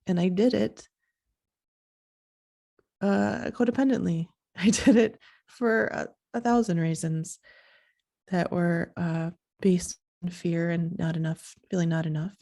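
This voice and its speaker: A woman hosting a podcast in a soft, sleepy voice, talking in a slow, halting, reflective way. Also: fairly low pitch, steady tone. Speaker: podcast host.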